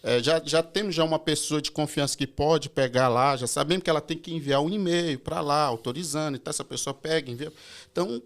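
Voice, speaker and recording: A man's voice: on the high side, brisk. A man on a podcast, explaining his airport assistance service in a casual, hesitant way full of fillers and restarts. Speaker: airport assistance company representative.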